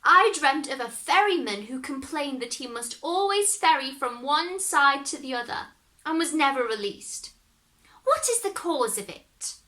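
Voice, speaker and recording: A woman voicing the devil's kindly old grandmother in a storybook reading, gentle and coaxing. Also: high-pitched, expressive, measured pace, voice pushed up, heavily acted.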